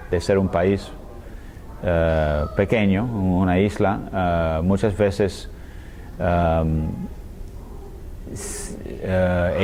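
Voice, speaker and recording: A man, a scholar answering interview questions in a language that is not his one, speaking hesitantly with many fillers, pauses and restarts and often ending phrases with a questioning tag. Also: deep voice, dark tone, slight background noise. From United States. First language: English. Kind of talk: thoughtful conversational interview answers.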